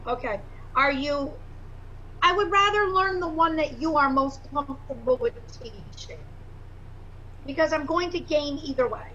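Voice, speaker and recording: A woman with a high, bright voice talks earnestly on a video call, weighing her words with fillers as she thinks aloud. The call audio is dull and lo-fi. A faint hiss runs underneath. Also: measured pace. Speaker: pastor and prospective student. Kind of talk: casual orientation call.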